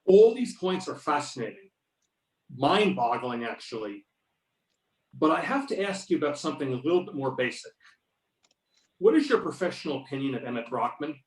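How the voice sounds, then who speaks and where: A man's voice: mid-range voice, sing-song, normal speed, long pauses, lightly acted. A man playing a curious, polite interviewer, reading scripted questions in an amateur drama. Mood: intrigued, probing. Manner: polite, inquiring. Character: male interviewer, curious, politely probing the UFO expert. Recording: echoey room, distant from the mic.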